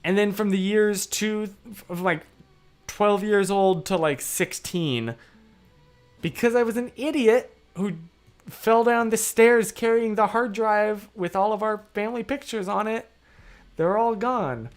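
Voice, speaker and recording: A hoarse young man hosting a livestream, chatting casually and rambling off topic with easy laughs. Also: high voice, a little slow. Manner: rambling, loses his train of thought; laughs easily. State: hoarse, voice worn out. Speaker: livestream host. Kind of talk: casual livestream chat, answering comments.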